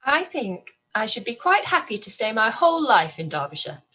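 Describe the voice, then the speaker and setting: A spirited young woman, voiced by an actress, asks curious questions in a high, bright, animated voice. It is a radio play reading. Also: normal speed, husky, heavily acted.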